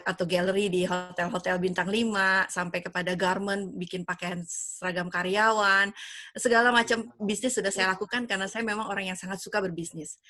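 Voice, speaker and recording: A woman's voice: on the low side, fairly bright tone, no room sound. Enthusiastic woman in her fifties hosting. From Indonesia (Padang). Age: 50s. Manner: enthusiastic, persuasive, many fillers. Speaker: event host. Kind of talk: online business presentation. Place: home, lives in the United States. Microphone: video call.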